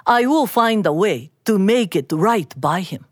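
A woman storyteller plays a humble, devoted father from a folktale. He speaks slowly and politely in a Japanese accent, calm and earnest, with a dry, close sound. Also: mid-range voice, no echo, lightly acted.

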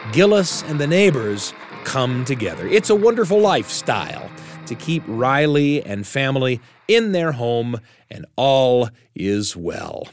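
A man hosting a storytelling show, narrating expressively in a folksy way with dramatic pauses. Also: medium pitch, big pitch swings. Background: music bed.

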